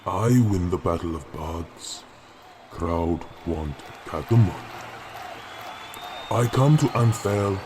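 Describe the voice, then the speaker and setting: A man's voice: deep voice, noisy background. A man voicing a boastful male bard who talks about himself by name in clipped, broken grammar, with a crowd behind him.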